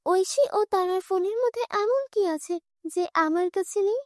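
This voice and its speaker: A woman's voice: very high-pitched, a little slow, no echo, studio quality. A woman voicing a jealous, greedy young flower seller in a cartoon fairy tale, grasping and indignant. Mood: jealous, scheming.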